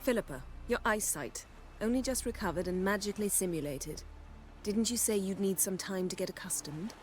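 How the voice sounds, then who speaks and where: An actress playing a composed, suspicious sorceress in a video game cutscene, with formal, pointed phrasing. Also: female voice, medium pitch, animated, moderate pace, slight background noise, natural voice.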